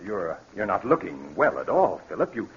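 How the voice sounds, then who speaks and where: An actor playing a scheming, controlling blackmailer in vintage radio drama, falsely polite and commanding when crossed. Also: male voice, low-pitched, big pitch swings, a little fast, slightly rough, full character voice. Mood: feigned politeness. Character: smooth, scheming male blackmailer with a controlling temper. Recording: muffled, noisy 1940s-50s radio recording.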